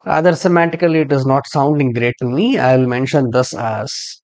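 A man talks through a software tutorial at a middling pitch. He explains each step in order, as a trainer would, and spells out codes as he goes. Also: moderate pace. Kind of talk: screen-share tutorial narration.

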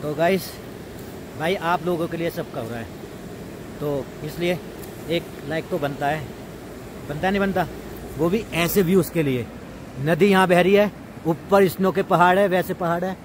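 Chatty man, noisy outdoor vlog. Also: a little high. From India. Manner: chatty, informal, addresses viewers as friends. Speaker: vlog host. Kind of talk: casual camping vlog narration to viewers. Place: outdoors at a riverside campsite. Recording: noisy outdoor recording, rushing river. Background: loud ambient river noise.

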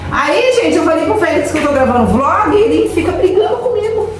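A woman's voice: very high-pitched, sing-song, fairly quick. Brazilian woman chatting in echoey kitchen far from the camera, kinda dramatic. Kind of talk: casual chat to camera and friend. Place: home kitchen, indoors. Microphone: camera at a distance. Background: music.

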